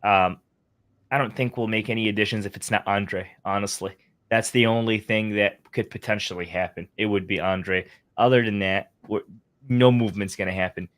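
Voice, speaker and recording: A man's voice: lowish voice, steady tone, normal speed, fairly bright tone. A man co-hosting a sports podcast, giving his opinions in a casual, conversational way. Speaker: podcast co-host.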